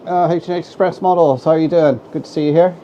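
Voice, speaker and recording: An Irish man with a stuffy head cold talks in a mellow, easygoing way as he hosts a live stream. The home recording sounds a bit dull and lo-fi, with a faint hiss behind him. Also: on the high side, fast talker. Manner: relaxed and chatty, greets viewers by name. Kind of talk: casual chat to a live stream. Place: home model railway room. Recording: dull, slightly lo-fi home mic with faint noise.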